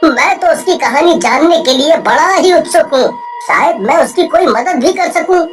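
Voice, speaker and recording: A woman's voice: high-pitched, expressive, normal speed. A woman voicing a brave, kind young village man in a kids' cartoon, speaking earnestly and plainly. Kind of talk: cartoon voice acting. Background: soft music.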